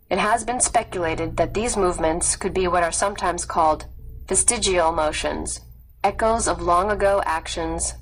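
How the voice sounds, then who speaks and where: Woman reading a nonfiction story aloud, lowish voice, measured, some background noise. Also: low-quality recording. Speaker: audiobook narrator. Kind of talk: scripted narration of a nonfiction story for students.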